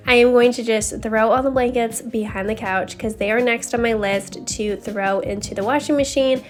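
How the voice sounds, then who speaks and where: Woman, fairly high voice, slightly scratchy, casual chatty vlog narration, music in the background. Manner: chatty, confiding, addresses viewers directly. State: slightly scratchy voice, needs to clear throat. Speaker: home cleaning vlogger.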